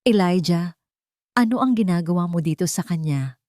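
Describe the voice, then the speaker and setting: A woman's voice: lowish voice, animated, normal speed, a little mellow, no echo, studio quality, lightly acted. Woman voicing a cold, jealous, haughty fiancée. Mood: cold suspicion. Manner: sneering, possessive, menacing. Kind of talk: Tagalog radio drama.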